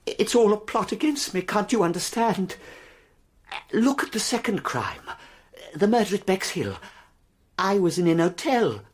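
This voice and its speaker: An actor playing a nervous, down-on-his-luck war veteran in a radio play, hesitant and plaintive, with a husky, breathy voice. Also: high voice, big pitch swings, measured pace, exaggerated voice. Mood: agitated, desperate.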